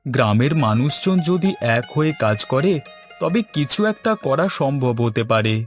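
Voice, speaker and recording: A man's voice: mid-range voice, lively intonation, moderate pace, soft background music, lightly acted. A man voicing an earnest, agreeable younger village man eager to help, in scripted cartoon dialogue. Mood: eager, concerned.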